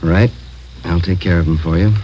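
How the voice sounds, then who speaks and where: An actor playing a brisk, watchful narcotics captain in an understated radio drama style, plain-spoken and terse. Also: male voice, low-pitched, fairly even intonation, natural voice. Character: brisk, watchful male police narcotics captain giving orders. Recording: muffled old radio recording, faint noise.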